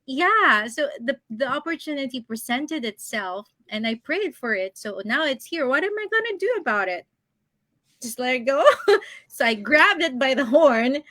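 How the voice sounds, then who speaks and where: A woman's voice: sits high, expressive, moderate pace, sharp tone, dry recording. A woman in her thirties, a guest on an interview show, chatting casually about her own experience and laughing often between thoughts. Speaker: guest, online business coach.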